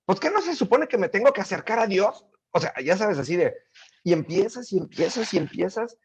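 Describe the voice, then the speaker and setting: A middle-aged man, a guest in a casual interview, telling stories in an animated way with many fillers and restarts. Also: high voice, brisk, slight background noise.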